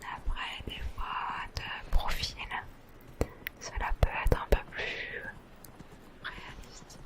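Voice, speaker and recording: A woman whispering softly in a hushed ASMR style as she comments on a video game. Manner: slow, soft, hushed whispering. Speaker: ASMR gaming video host.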